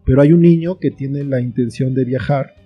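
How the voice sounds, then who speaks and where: A man's voice: mid-range voice, moderate pace, husky, lo-fi sound, faint music behind. A man with a Mexican accent giving reflective, explanatory answers as an interview guest in a conversational style. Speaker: interview guest, screenwriter and author.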